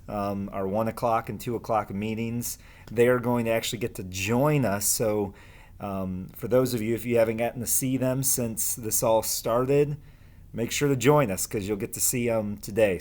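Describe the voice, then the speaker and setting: A man with a medium-pitched voice talks to children as their teacher. His pace is unhurried, and his delivery is informal, the kind used for explaining and reading aloud to a class. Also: a little mellow. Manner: informal, explains and asks listeners questions. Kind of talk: casual read-aloud lesson for children.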